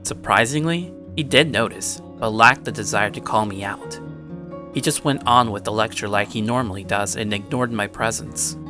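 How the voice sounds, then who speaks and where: A man playing an anxious, introspective high school boy who narrates his own story. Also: moderate pace, natural voice. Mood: reflective. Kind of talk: first-person scripted story narration. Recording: music under the voice.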